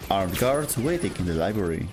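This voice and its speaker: A man's voice: deep voice, sing-song, brisk, noisy background. A man narrating a scripted story as a dramatic storyteller, in short clipped phrases.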